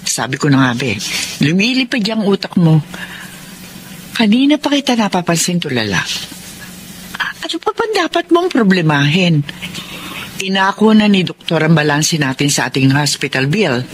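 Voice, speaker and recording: A woman's voice: fairly low pitch, very animated, moderate pace, long pauses, a little hiss or hum. An actress playing a caring, mildly scolding middle-aged mother with a Filipino accent in a radio drama. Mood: concerned, chiding. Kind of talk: Tagalog radio drama acting.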